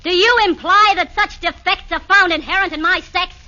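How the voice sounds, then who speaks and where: An actress playing a haughty, scheming woman spy in a 1940s radio comedy, with a shrill, indignant delivery. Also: normal speed, pushed and bright. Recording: muffled 1940s radio broadcast.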